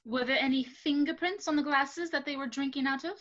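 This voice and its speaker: A woman's voice: high-pitched, fairly quick, a bit bright, lo-fi sound. A woman playing a composed, pointed secretary in an amateur murder mystery. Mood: guarded. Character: cool, assertive female secretary in a murder mystery.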